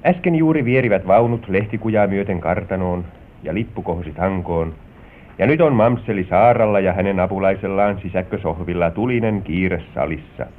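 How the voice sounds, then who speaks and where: Deep-voiced radio drama narrator played by a man. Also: natural voice. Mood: formal, scene-setting. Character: formal male storyteller setting the scene. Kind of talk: scripted narration in vintage radio play. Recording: dull, noisy old radio recording with rumble.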